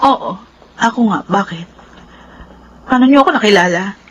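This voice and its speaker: A woman's voice: mid-range voice, expressive, moderate pace, slightly rough, poor audio. A woman with a Filipino accent playing a proud, emotional young mistress and daughter in a radio drama. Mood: guarded, curt.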